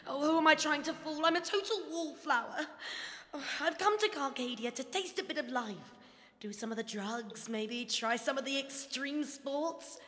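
Timid female plant being, fretful and self-pitying; high, bright, slightly reverberant. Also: moderate pace. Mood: flustered. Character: shy nervous female plant creature. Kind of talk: audio drama acting.

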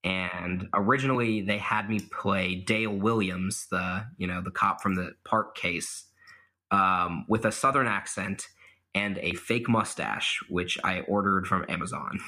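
Young male guest, low-ish bright tone, casual and self-deprecating. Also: measured pace. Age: young adult. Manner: self-deprecating, laughs at himself, many fillers. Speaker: guest, mock trial competitor. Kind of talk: casual interview answers.

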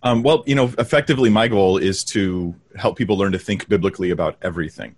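Earnest low-voiced pastor. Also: male voice, fast, a little mellow. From Southern California. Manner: earnest, explanatory. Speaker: pastor and online Bible teacher. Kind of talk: interview guest answering questions. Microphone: video call.

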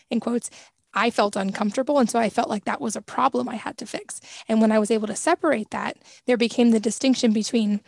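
Gravelly woman host, dry close sound. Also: fairly high pitch, darkish tone, no echo. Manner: reflective, thinks aloud. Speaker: podcast host. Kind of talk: conversational interview.

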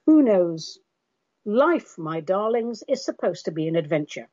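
A woman's voice: medium pitch, very animated, a little slow, warm tone, dull audio. A woman from London with a London accent, hosting a podcast in a theatrical, sardonic monologue that calls her listeners darlings. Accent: London English. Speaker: podcast host.

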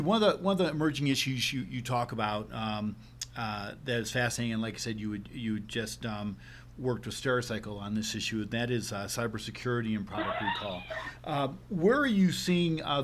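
A man in the host's seat asking long, winding questions full of ums and uhs. His voice sits at a middle pitch, with a faint noise behind it. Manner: rambling, filler-heavy questions. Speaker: interview host. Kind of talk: podcast interview.

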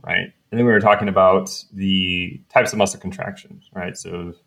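A man hosting a podcast, chatting in a relaxed way and thinking aloud as he explains. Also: low-pitched, moderate pace, creaky. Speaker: podcast host, researcher. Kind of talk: casual podcast chat.

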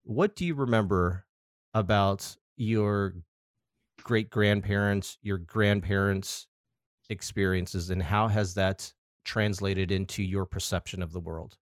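Male interview host, low voice, dry close mic, conversational. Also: steady tone, slow pace, dry recording. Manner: conversational, reads quotes aloud. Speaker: podcast host.

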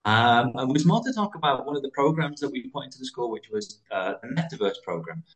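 British male guest, fast and animated, school-founder type, conversational. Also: mid-range voice, rough recording. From United Kingdom. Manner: fast, animated, many restarts. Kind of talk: interview conversation.

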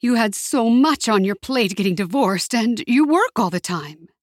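A woman's voice: fairly high pitch, lively intonation, normal speed, no room sound, clean studio sound, voice pushed up, close to an everyday voice. A woman voicing an anxious older aunt from Mexico, with a trembling, pleading manner and a touch of disapproval. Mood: worried.

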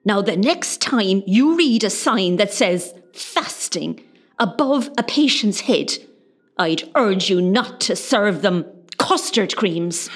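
A woman playing a stern, bossy ward nurse in a comedy radio play, with a scolding, sarcastic, deliberate manner. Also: mid-range voice, animated, husky.

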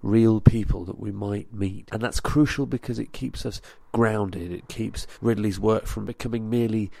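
Low, gravelly man reviewing theatre. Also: fairly even intonation, normal speed, a little mellow, no room sound. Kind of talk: scripted spoken review.

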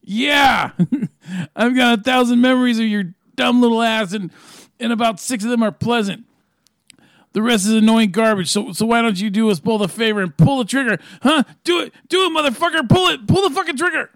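A man doing a gravelly, sneering old mad scientist. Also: extremely high pitch, fairly quick, fairly bright tone, no echo, studio quality. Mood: contemptuous. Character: cranky old male mad scientist, belligerent. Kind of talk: fan script reading.

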